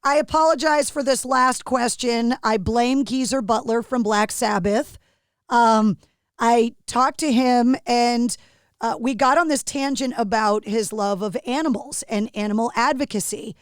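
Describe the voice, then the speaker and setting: Raspy-voiced woman podcast host. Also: on the high side, a little slow, no room sound, clean studio sound. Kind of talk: casual interview chat.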